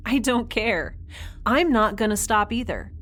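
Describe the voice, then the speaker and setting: A woman's voice: on the high side, expressive, measured pace, fairly bright tone. A woman playing a defiant, sarcastic young space station resident in an audio drama, with a flippant, casual delivery. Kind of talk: scripted audio drama acting.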